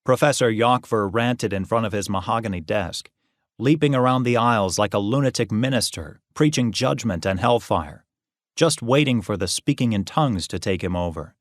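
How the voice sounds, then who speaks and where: A man reading a novel as an audiobook narrator, expressive and wry. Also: on the low side, moderate pace, no room sound, clean studio sound. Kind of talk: scripted fiction narration.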